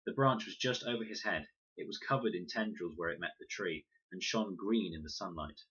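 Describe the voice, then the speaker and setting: Low-voiced man narrating, slightly husky. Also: fairly quick, fairly bright tone, muffled recording. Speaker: audiobook reader. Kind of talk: scripted storybook narration.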